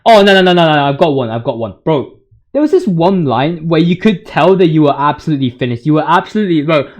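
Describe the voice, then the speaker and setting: Young male gaming YouTuber, high voice, informal and excitable, rambles in heavy slang and exaggeration. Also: quick pace. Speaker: video creator, commentator. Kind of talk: informal video game commentary.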